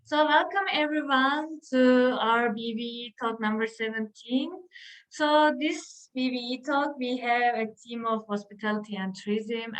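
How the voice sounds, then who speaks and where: A woman's voice: a little high, low-quality recording. Young Turkish-accented woman hosting a panel. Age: young adult. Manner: hesitant, many filler sounds. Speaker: event host, HR intern.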